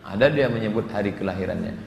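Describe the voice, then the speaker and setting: A man's voice: fairly low pitch, fast talker, vocal fry. A man, an Islamic preacher answering audience questions in a humorous, conversational sermon with acted-out asides. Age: 40s. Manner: humorous, conversational, with dramatic asides and Arabic quotations. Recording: echoey hall with long reverb, background noise.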